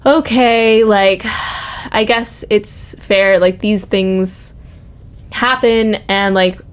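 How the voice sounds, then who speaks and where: A young woman talks in a casual, conversational podcast style, with a middling pitch for a woman. She is informal and reflective, telling stories in a loose, hesitant way as she thinks them through. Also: steady tone, very slow, smooth.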